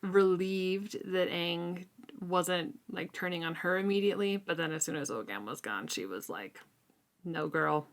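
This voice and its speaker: A woman with a voice a little lower than most speaks informally. She talks in everyday, colloquial language, as a co-host discussing a book would. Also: measured pace. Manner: colloquial, conversational. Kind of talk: casual chat recapping and reviewing a fantasy novel.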